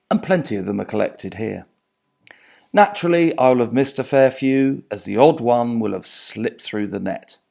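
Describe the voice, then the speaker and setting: A man reading from his own non-fiction book as its author, in a measured, articulate, literary manner, phrase by phrase, letting his lines fall away at the end. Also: mid-range voice, vocal fry, darkish tone.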